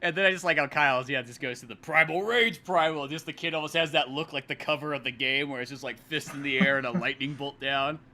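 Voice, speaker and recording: A young man with a very bright, forward voice pitched a bit high. He talks as a podcast co-host walking through a comic, swearing freely and acting out lines as he tells it. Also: fast, few pauses. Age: young adult. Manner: profane, animated storytelling with acted-out lines. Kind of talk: casual comic read-along commentary.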